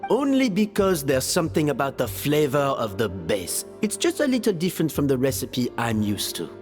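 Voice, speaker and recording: A man playing a flamboyant food judge and contest announcer in a video game, theatrical and declarative, with drawn-out phrasing. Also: medium pitch, wildly swooping pitch, in a large hall, over music. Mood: delighted, showy.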